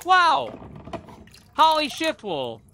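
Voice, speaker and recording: A woman presenting toys for children in short, exclamatory, drawn-out announcements. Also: sits high, huge pitch swings, gravelly, a little hiss or hum. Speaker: toy video presenter. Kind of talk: exclamatory toy showcase for children. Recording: loud music over the voice.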